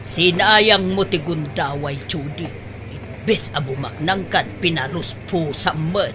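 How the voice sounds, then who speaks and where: A woman playing an excitable, exclamatory middle-aged mother and market vendor in a comedy radio-style drama. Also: low voice, takes it slowly, a little hiss or hum. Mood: scolding.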